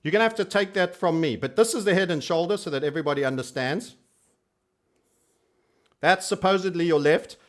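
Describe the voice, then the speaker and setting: Guy doing youtube trading talk, kinda high voice, cheeky and emphatic, repeats himself for stress. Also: fairly quick, pauses often. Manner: cheeky, colourful storyteller. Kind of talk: informal market commentary.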